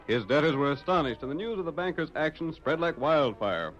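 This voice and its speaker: A man's voice: fairly high pitch, big pitch swings, fairly quick, a bit bright, faint music behind. A man narrating a radio western as a dramatic storyteller with an American accent. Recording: dull vintage radio recording.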